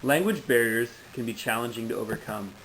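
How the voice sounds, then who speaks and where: A man's voice: mid-range voice, brisk, fairly bright tone, a little hiss or hum, poor audio. A man reading out a prepared informational presentation in a formal, textbook-like way.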